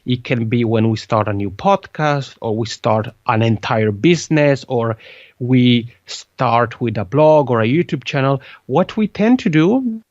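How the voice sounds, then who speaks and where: A man with a Swiss Italian accent, Italian his first language, answers interview questions in a lively way, repeating words as he goes. His voice sits at a middle pitch, and the audio is dull and lo-fi, like a remote call, with a dry, close sound. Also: unhurried, no room sound. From Italian-speaking Switzerland. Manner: lively, repeats words, restarts phrases often. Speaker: podcasting consultant guest. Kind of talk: conversational interview.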